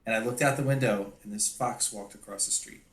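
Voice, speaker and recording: A man's voice: lowish voice, fairly quick, a bit bright. A man talking casually, adding short anecdotes and remarks to a group conversation. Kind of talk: casual chat. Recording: roomy, echoey sound.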